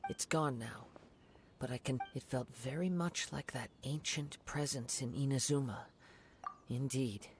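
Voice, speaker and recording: An actor playing a calm, thoughtful young swordsman in a video game, speaking in a measured, formal and polite way. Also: mid-range voice, background noise, natural voice. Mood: watchful, grave. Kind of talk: scripted video game dialogue. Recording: game music behind the voice. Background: game music.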